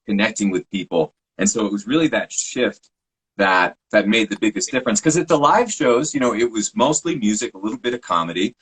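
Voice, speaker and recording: Low, slightly husky man. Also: brisk, rough recording. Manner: relaxed, reflective, trained projection. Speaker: podcast host and guest. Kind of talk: casual interview talk.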